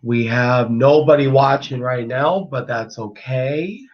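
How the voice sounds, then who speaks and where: Guy hosting a live sports podcast, voice a bit worn, slow and rambly with lots of ums, muffled lo-fi audio. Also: medium pitch. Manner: easygoing, rambling, many ums and restarts. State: voice a bit worn after nearly losing it. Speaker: podcast host.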